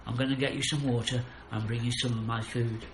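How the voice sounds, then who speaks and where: A man's voice: mid-range voice, level tone, brisk, natural voice. A brave, loyal female dog from a storybook, read by a man, eager and reassuring. It sounds roomy, with a faint noise underneath.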